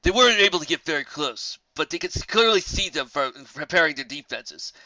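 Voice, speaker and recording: A man's voice: fairly high pitch, expressive, fairly quick, bright, forceful tone, no echo, highs cut off. A man voicing a practical, questioning griffin officer with polite military phrasing. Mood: businesslike.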